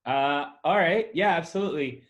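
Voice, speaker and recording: A man's voice: fairly high pitch, normal speed, a bit bright, dull audio. A man from a childhood split between the US, Chile and China, answering interview questions in a casual, explanatory, reflective way. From born in Chile, raised in the US, Chile and China. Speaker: interviewee, soccer club founder. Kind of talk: casual personal storytelling.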